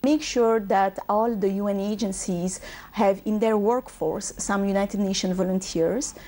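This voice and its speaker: Mellow-voiced woman explaining her work. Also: mid-range voice, fairly even intonation, measured pace. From Bangladesh, raised in Belgium. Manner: fluent, explanatory, with frequent "uh" and "um". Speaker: UN regional manager guest. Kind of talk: TV interview answers.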